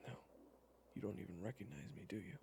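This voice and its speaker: A man playing a worried, exasperated friend in an audio roleplay, speaking haltingly and naturalistically. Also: fairly low pitch, gravelly, background noise. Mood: somber, gentle. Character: worried, exasperated male friend of an amnesiac, gently explaining. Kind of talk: audio roleplay acting, one-sided dialogue.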